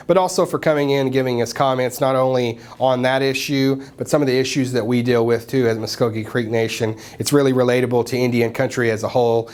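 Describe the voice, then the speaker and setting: A man hosting a news show in a broadcast studio, interviewing a guest and wrapping up in a steady, conversational way with frequent fillers. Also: mid-range voice.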